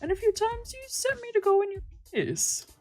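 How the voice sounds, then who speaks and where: Woman voicing a chatty, playful young game guy, lifted pitch. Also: sing-song, normal speed, darkish tone, soft background music. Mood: friendly, teasing. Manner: bubbly, familiar, mildly grumbly. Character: chatty, playful, teasing young man in visual novel. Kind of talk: acted visual novel dialogue.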